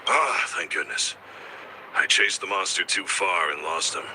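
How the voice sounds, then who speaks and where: A man's voice: low voice, expressive, measured pace. An actor playing a modest, caring man in a video game, speaking in a hesitant, sincere way. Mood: relieved, cautious. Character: modest, grateful, caring man warning of a monster. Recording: clear background noise.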